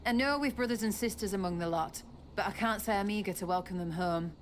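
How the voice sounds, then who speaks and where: An actress voicing a wary townswoman in a video game, speaking in a measured way. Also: mid-range voice, slight background noise, close to an everyday voice. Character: wary adult townswoman, relieved but uneasy.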